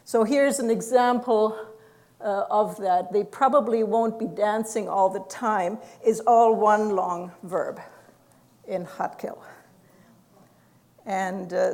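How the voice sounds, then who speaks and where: A woman lecturing at a university with a gravelly edge to her voice. She talks in an explanatory way, filling pauses with "uh" and laughing softly now and then. Her pitch sits in an ordinary middle range. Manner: explanatory, with frequent fillers and soft laughs. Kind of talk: academic lecture.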